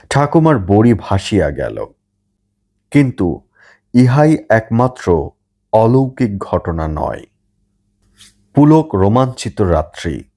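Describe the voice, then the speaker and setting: A man's voice: fairly low pitch, dark tone. A man narrating a literary short story for an audiobook from a script.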